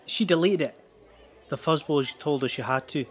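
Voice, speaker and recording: An actor playing a laid-back, easily amused young slacker, talking informally and haltingly in an audio drama. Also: male voice, fairly high pitch, husky. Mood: giggly. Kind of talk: audio drama testimony, casual.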